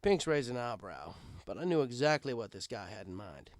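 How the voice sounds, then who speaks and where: A man's voice: mid-range voice, very animated, normal speed, no room sound, studio quality. A man reading a first-person story aloud as narrator, in a conversational, wry storytelling style.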